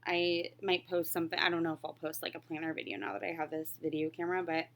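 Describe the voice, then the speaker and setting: A woman in her late twenties with a slightly low voice, talking in a casual vlog style. She rambles through answers in a candid, reflective way, like someone chatting while working on a craft. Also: little pitch movement, fast talker, a bit bright. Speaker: crafting vlogger. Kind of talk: casual chat, answering questions while stitching.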